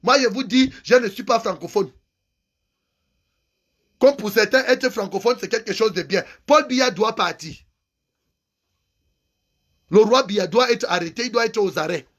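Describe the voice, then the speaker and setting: Male activist, unusually high-pitched, angry and insistent. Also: a little slow, long pauses, fairly bright tone. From Cameroon. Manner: angry, insulting, repeats phrases for emphasis. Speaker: activist vlogger. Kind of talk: impassioned political rant.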